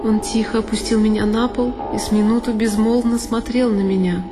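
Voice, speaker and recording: High-voiced woman, dramatic literary narration. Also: fairly even intonation, fairly quick. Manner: intense, theatrical. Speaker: actress narrating a one-woman audio play. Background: music with solo violin.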